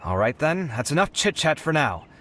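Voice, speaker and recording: A man voicing a proud, touchy, dramatic young man in a video game, expressive and huffy. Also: on the high side, normal speed. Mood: defiant, recovering. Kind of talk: animated video game voice acting.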